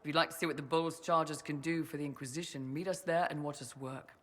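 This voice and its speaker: An actor playing a confident, loyal young mercenary soldier in a video game, blunt and businesslike. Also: male voice, on the high side, steady tone, quick pace, fairly bright tone. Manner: blunt, plain-spoken, businesslike. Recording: faint background ambient noise.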